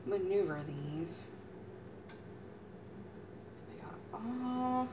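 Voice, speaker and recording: A woman in her thirties, chatting casually as she demonstrates a recipe in her home kitchen, teasing herself and letting out lively exclamations. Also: on the low side, vocal fry. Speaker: home cooking channel host. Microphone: fixed camera mic at a distance. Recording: distant camera mic with faint room noise.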